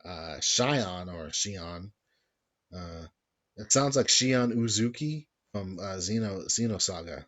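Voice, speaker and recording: Low-voiced young man chatting casually. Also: lively intonation, takes it slowly. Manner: rambling, with sudden loud playful shouts. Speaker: podcast co-host. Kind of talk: casual chat.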